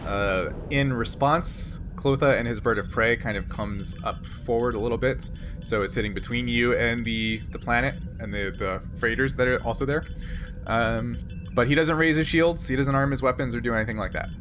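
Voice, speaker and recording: Man running a game over clear background noise. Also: mid-range voice, normal speed. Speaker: game master. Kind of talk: improvised tabletop game narration and chat.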